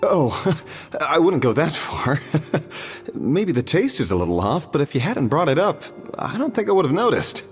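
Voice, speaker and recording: A man's voice: on the high side, sing-song, normal speed. A man voicing a mild, easygoing diner in a video game, polite and a little awkward. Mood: awkward, startled. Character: mild, easygoing male restaurant customer, bemused and awkward. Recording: long reverb with music behind.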